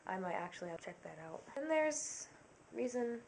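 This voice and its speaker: A young woman talking casually to camera, rambling through a list with lots of fillers and dropping into quiet, self-conscious asides. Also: fairly high pitch, animated, moderate pace, gravelly. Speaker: vlogger. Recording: faint background noise.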